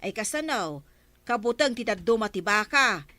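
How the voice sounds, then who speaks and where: A suspicious village woman with an Ilocano accent. She grumbles in a bright, close and dry voice, in radio comedy drama style. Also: medium pitch, expressive, moderate pace, no echo. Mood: grumbling.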